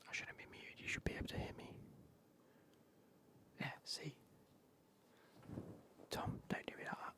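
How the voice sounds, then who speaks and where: A man's voice: measured pace, pauses often. A man chatting in a whisper while streaming a game, with a cold coming on. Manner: whispered, chatty, repeats phrases. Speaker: gaming streamer. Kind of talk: whispered ASMR gaming livestream.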